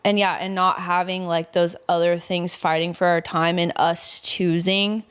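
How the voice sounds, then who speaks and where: Smooth, lower-pitched American woman chatting casually. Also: level tone, a little slow. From small-town Indiana, American Midwest. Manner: informal, frequent "like", effusive about life. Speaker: podcast guest, model and content creator. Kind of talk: casual conversational interview answers.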